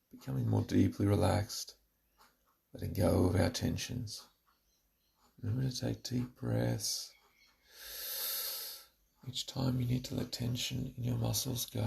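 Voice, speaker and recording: Male relaxation narrator, lowish and extremely gravelly, giving soothing, measured instructions from a script. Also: dark tone. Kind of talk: scripted guided relaxation meditation.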